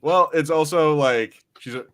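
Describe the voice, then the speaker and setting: A man's voice: on the high side, unhurried, a bit bright. A man chatting casually as a podcast host, informal and sweary in the way he talks.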